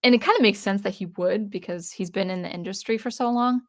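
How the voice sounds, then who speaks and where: A woman's voice: mid-range voice, fast talker. A woman from the US Northwest hosting a casual livestream, reading viewer comments aloud and answering them in a chatty way with frequent restarts. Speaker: livestream host.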